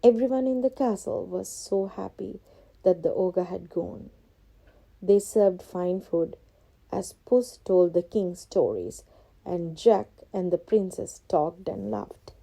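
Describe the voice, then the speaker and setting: A woman reading a scripted children's storybook as narrator, expressive and carefully phrased. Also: on the low side, takes it slowly, vocal fry, poor audio.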